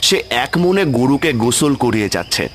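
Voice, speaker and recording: A man's voice: medium pitch, sing-song, normal speed, a little hiss or hum. A man telling a scripted horror story as the narrator of a cartoon tale. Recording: loud music with cricket sounds behind.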